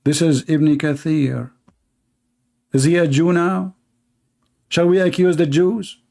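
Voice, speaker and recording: A man's voice: a little high, fairly even intonation, takes it slowly, vocal fry, darkish tone. A man with an Arabic accent, a native Arabic speaker, giving heated, sarcastic commentary as host of a debate stream. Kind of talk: polemical commentary.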